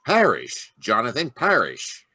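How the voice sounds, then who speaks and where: An actor playing an agitated, blunt police inspector in a 1940s-style radio play. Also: male voice, mid-range voice, huge pitch swings, unhurried, bright, forceful tone, slightly rough, dry recording, clean studio sound, full character voice. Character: harried middle-aged male police inspector.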